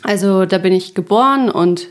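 A woman's voice: medium pitch, normal speed. A woman from Upper Franconia, speaking standard German, chatting and joking as a podcast guest and laughing often. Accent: standard German, Franconian dialect dropped. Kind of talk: casual chat.

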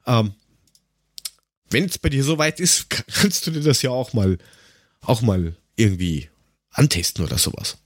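A middle-aged man chatting casually on a humorous podcast in a halting, wry storytelling manner. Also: medium pitch, no echo, clean studio sound. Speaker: podcast host.

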